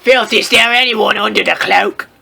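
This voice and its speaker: A reader putting on an angry shouting janitor man. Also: medium pitch, normal speed, full character voice. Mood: demanding. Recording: clear background noise.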